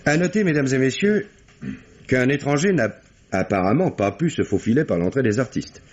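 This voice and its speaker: An actor playing a calm, probing police commissioner who questions witnesses with measured authority in an old radio play. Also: male voice, medium pitch, natural voice. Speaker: police inspector. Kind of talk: 1950s radio drama acting. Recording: dull old radio broadcast with faint hiss.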